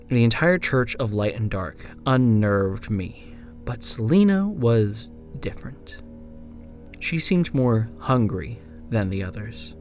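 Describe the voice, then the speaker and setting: A man playing a wary frontier traveller, narrating a scripted horror journal in a grave, measured, dramatic way. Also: medium pitch. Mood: uneasy, dread.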